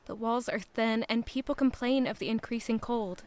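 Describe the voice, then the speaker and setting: A woman's voice: a little high, moderate pace, a little mellow, natural voice. A woman playing a thoughtful young royal who narrates her own story in an audio drama. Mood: reflective.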